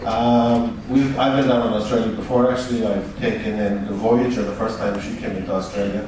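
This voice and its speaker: A man's voice: lowish voice, little pitch movement, fairly quick, a crowd in the background. A middle-aged man with an Irish accent giving an informal, wry and self-deprecating talk to an audience. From Ireland. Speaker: hotel director on a ship. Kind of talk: informal, joking introduction to an audience. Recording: distant, very echoey noisy room.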